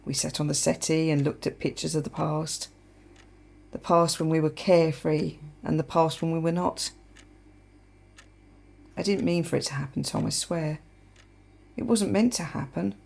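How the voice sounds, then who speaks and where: An actress playing a wry, sharp, defiant woman in a naturalistic radio play. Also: deep voice, fairly even intonation, normal speed, vocal fry, pauses often, breathy edge, heavily acted. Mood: anguished confession. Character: adult woman, wry, sharp, defiant, confessing to her partner. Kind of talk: naturalistic radio play acting, dialogue and monologue.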